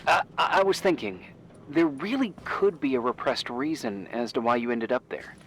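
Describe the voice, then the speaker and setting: A man playing an awkward, curious, playful young confidant in a game, with a halting, teasing, conversational delivery. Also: mid-range voice, expressive, fairly bright tone, slight background noise. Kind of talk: naturalistic game dialogue.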